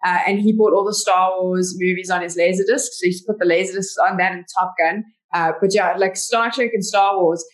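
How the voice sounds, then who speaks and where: A woman's voice: fairly low pitch, fairly even intonation, brisk, few pauses, rough recording. Chatty South African woman. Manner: chatty storyteller, many "like" fillers. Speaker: podcast guest. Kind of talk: casual interview chat.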